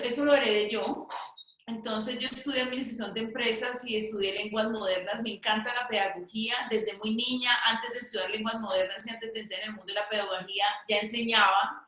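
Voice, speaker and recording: A woman with a Colombian accent giving a conversational personal testimony in a reflective way, pausing for short check-in questions. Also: a little high, fairly bright tone. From Cali, Colombia. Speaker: guest speaker, teacher. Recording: very echoey room sound.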